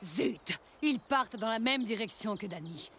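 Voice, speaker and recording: A woman voicing a sly, gloating pirate villainess in an animated cartoon. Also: a little high, moderate pace. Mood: vexed. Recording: loud music behind the voice.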